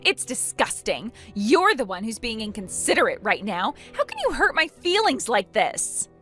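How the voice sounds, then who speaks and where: Lady doing an outraged young wife in a drama story, self-pitying, shrill when shocked, pitch jumps all over. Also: lively intonation, moderate pace, fairly bright tone, faint music behind. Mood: offended. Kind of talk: acted dialogue in a melodramatic story.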